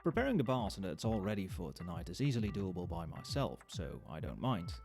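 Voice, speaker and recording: A man acts out a chatty barman in an audio roleplay, his low voice moving with plenty of lift and dip. He explains things to the listener in an easy, knowing, wryly amused way, with soft club music playing behind him. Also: sing-song, fast, vocal fry, exaggerated voice. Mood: casual, cautioning. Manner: conversational, explanatory, with hesitations and understatement. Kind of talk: ASMR roleplay, conversational monologue to the listener. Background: soft club music and nightclub ambience.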